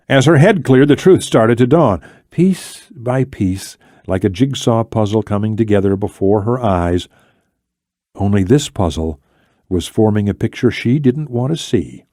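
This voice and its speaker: A man reading a thriller novel as scripted audiobook narration, in a measured, deliberate way with dramatic pauses. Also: fairly low pitch, mellow, no room sound, studio quality.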